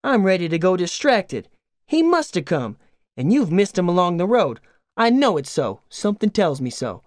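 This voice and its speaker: A man voicing an excitable, fretful middle-aged farm wife in a storybook reading, pouring out gushing run-on chatter. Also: high voice, moderate pace, no room sound, studio quality, pitched above the performer's own voice.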